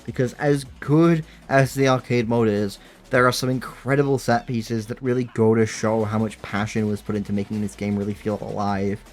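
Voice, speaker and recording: Man, slightly low voice, earnest and enthusiastic, scripted video-essay narration, casual asides. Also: fairly even intonation, normal speed, few pauses.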